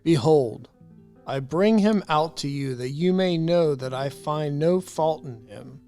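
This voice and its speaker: A woman voicing a stern male Roman governor, speaking slowly and gravely in a scripture reading. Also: deep voice, dark tone, faint music behind, pitched below the performer's own voice.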